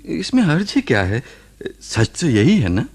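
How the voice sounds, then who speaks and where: An actor playing a devoted, open-hearted young husband in a radio drama, speaking earnestly and affectionately. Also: male voice, on the high side, wildly swooping pitch, measured pace, a little mellow, husky, exaggerated voice. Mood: affectionate, playful. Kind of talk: Hindi radio drama acting.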